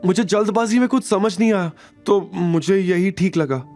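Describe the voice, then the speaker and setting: A man voicing an anxious, earnest and innocent young woman in an audio drama, speaking softly. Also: high-pitched, moderate pace, soft background music, voice pushed up, lightly acted. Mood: earnest, flustered. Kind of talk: Hindi audio drama dialogue.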